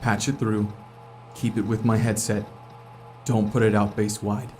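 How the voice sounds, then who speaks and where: An actor playing an irritable, defensive astronaut in a naturalistic sci-fi audio drama, curt and sarcastic. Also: male voice, lowish voice, little pitch movement, a little slow, darkish tone, soft background music, lightly acted. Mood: puzzled, urgent.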